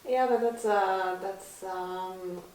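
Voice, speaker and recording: A woman interviewing a guest, asking gentle questions and using many fillers. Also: medium pitch, steady tone, takes it slowly. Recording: echoey room, mic at a distance.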